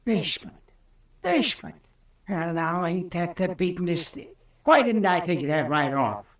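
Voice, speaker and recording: High-pitched, folksy small-town mayor. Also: male voice, animated, moderate pace. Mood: astonished. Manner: folksy, blustering. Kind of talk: 1940s radio horror acting. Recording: distant, echoey old-time radio recording.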